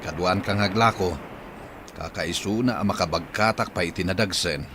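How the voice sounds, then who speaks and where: A stranded village captain, male, talking in a steady and plain way with a somewhat low voice. The radio drama recording carries a clear hiss of noise beneath him. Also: moderate pace.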